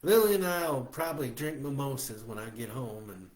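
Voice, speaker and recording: A man's voice: medium pitch, normal speed, darkish tone, lightly acted. A man voicing a brassy, bossy woman nurse friend who teases and scolds with salty talk. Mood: teasing.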